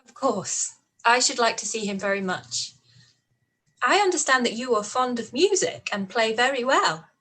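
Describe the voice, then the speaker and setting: Woman as poised, witty young gentlewoman. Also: on the high side, animated, moderate pace, breathy edge, rough recording. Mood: gracious. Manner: polite, composed, lightly teasing. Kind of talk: live-read period radio play acting.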